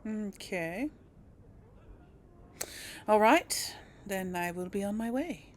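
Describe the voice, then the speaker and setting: A woman's voice: medium pitch, lively intonation, slow pace. A woman hosting a casual role-play Let's Play, chatting and thinking aloud as she plays. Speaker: gaming host. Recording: faint noise, game ambience behind.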